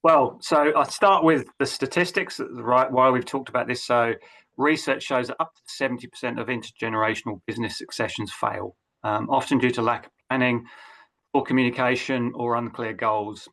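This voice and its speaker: A man's voice: medium pitch, fairly even intonation, normal speed, fairly bright tone, poor audio. A man hosting a business webinar on a video call, speaking with many hesitations and restarts. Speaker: webinar host.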